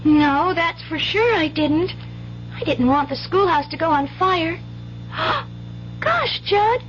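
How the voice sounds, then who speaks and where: A woman's voice: extremely high pitch, lively intonation, slow, a bit bright, full character voice. An actress playing an earnest, trusting country schoolgirl with folksy, wide-eyed speech in a radio drama. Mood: frightened, pleading. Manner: folksy rural grammar, eager and wide-eyed. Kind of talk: 1970s radio drama acting. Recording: dull, hissy old radio broadcast.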